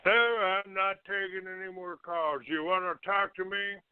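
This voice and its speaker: A man hosting a call-in show, ranting off the cuff in a halting, accusatory and combative manner. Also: high-pitched, steady tone, smooth, no room sound. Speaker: internet call-in show host. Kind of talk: unscripted talk show rant. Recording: narrow, tinny phone-like line.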